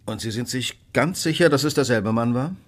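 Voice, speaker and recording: An actor playing a cool, analytical Victorian gentleman detective in a radio play, precise and probing as he questions. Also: medium pitch, a little fast, natural voice.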